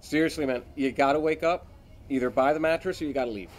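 A man's voice: on the high side, fast talker, a little hiss or hum. A young man working as a salesman, talking patiently and then firmly insisting. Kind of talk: sales talk in a prank. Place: mattress store, indoors.

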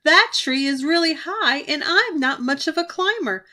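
A timid little boy bear, put on by a woman, whining in a high, squeaky voice. It sounds bright and thin. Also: lively intonation, normal speed. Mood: whiny. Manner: whiny, pleading. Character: timid young male bear cub, gang underdog.

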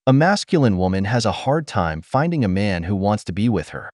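Low lecturing male commentary voice. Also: very animated, a little fast, darkish tone, no echo, clean studio sound. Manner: lecturing, opinionated. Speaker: commentary narrator. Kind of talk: scripted voice-over commentary.

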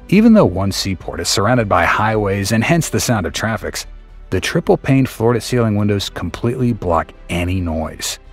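A man reading a scripted documentary narration in a steady, explanatory way over a close voice-over mic. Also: low voice. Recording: faint music bed behind the voice.

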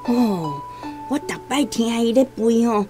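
Radio drama actress as elderly Taiwanese grandmother: stubborn, plaintive, fretful, fairly high voice. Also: measured pace, darkish tone, soft background music, close to an everyday voice. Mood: frightened, indignant. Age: older. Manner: plaintive, exclaiming, complaining. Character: stubborn, anxious, grumbling old Taiwanese grandmother living alone.

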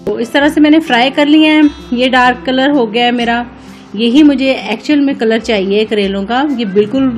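Female cooking vlog host, higher-pitched voice, casual step-by-step instruction addressed to viewers, music behind. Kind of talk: casual recipe narration, step by step.

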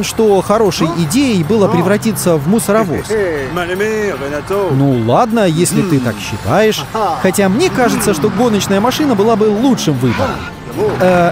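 A woman narrating a cartoon for young children in a cheerful, encouraging, exclamatory way, speaking to the characters and to the kids watching. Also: mid-range voice. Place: voice-over studio. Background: loud cartoon music.

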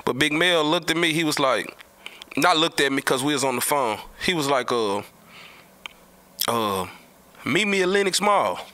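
A man's voice: on the high side, lively intonation, normal speed, long pauses, sharp tone. A man with a Southern US accent telling a story casually and repeating himself, in slangy, profane language. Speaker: host telling stories from the music business. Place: small indoor studio at a table. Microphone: studio mic on a boom arm, close.

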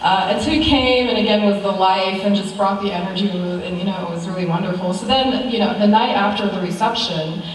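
A woman's voice: mid-range voice, fairly even intonation, brisk, hardly any pauses. A young adult American woman giving an informal, conversational eulogy with personal stories, recorded from a distance in a church. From United States. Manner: conversational, chuckling through anecdotes. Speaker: niece giving a funeral tribute. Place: large church sanctuary, at a pulpit. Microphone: far camera. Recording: very echoey church hall, distant mic, faint noise.

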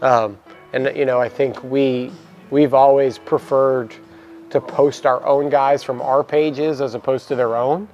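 A young man co-hosting a casual talk about coaching baseball, relaxed and filler-heavy, on a lapel mic in a cluttered equipment room. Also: medium pitch, a little slow, lo-fi sound, soft background music. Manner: relaxed, many "you know" fillers. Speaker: baseball program coach and co-host. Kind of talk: casual podcast chat.

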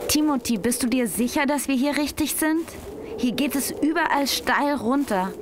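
A woman plays a young female hiker in an audio drama, anxious about heights. Her voice is a little high. Clear outdoor ambience runs behind the dialogue. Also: lively intonation, normal speed, background noise. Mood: concerned.

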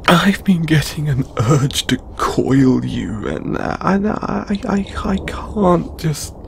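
A man playing an anxious, apologetic, lovestruck lamia flatmate in an audio roleplay, stammering and flustered. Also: a little high, slight background noise. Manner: stammering, halting, flustered, breathy sighs. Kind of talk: intimate audio roleplay acting.

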